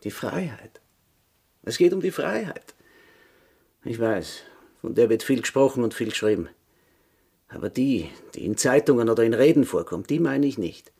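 An embittered vagrant thief, gravelly and husky, with sweeping intonation. He declaims insistently in literary radio drama style. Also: medium pitch, lively intonation, moderate pace, pauses often, mellow. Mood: impassioned. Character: embittered male vagrant thief yearning for freedom.

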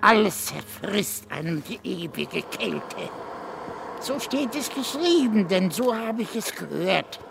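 A morbid old goatherd near death in a radio play. His thin, frail voice creaks heavily and moves very slowly, brooding and fatalistic. Clear background noise surrounds him. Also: high voice, sing-song, full character voice. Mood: grim, brooding. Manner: slow, brooding, ominous. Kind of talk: radio drama acting.

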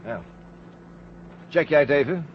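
A careful, by-the-book astronaut co-pilot in a vintage radio play. His voice is fairly high for a male and his manner is practical and wary. The recording is dull with faint noise. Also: lively intonation, unhurried. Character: cautious, duty-minded male astronaut co-pilot. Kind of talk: 1970 Dutch radio drama acting.

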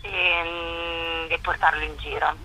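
A woman's voice: lowish voice, flat delivery, slow, noisy background. A woman, chatting casually in an interview as an author and actress, with an ironic, self-deprecating, rambling humour. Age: 30s.

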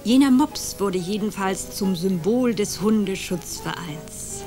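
An actress playing a calm, ironic woman who quietly accuses two men in a radio play, music behind her. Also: mid-range voice, measured pace, close to an everyday voice.

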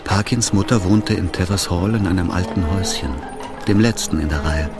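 A man's voice: low-pitched, moderate pace. A man reading scripted literary narration for a radio drama. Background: music.

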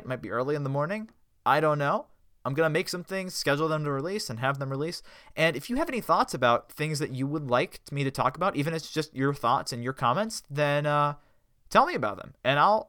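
A man's voice: medium pitch, sharp tone, clean tone, no echo, clean studio sound. A young man hosting a casual fan news podcast, chatty and upbeat, often correcting himself.

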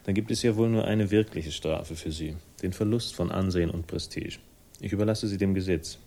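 A man's voice: deep voice, a little fast, warm tone. An actor in a 1960s crime radio play, playing a wry, laconic man out to avenge his sister and narrating it himself. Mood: cold, accusing. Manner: dry, sardonic, laconic. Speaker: lead character and first-person narrator.

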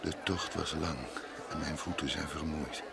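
An actor playing a weary, solemn wandering prophet in a radio drama, speaking formally. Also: male voice, a bass voice, steady tone, normal speed, vocal fry, background noise.

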